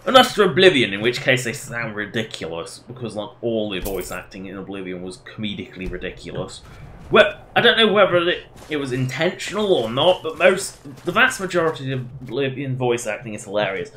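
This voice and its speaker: A man's voice: medium pitch, very animated, fairly quick, sharp tone, slight background noise. A man doing casual live game commentary, rambling and self-deprecating as he chats to his viewers. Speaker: game livestreamer.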